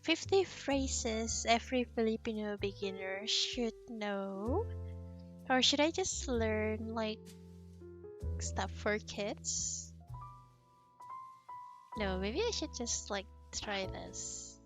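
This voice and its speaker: A woman streamer reacting casually to lesson videos. She talks at an unhurried, slow pace in a fairly high voice, laughing and sounding out words as she goes. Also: animated, faint music behind. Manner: chatty, laughs and repeats phrases slowly. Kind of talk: casual reaction while learning a language.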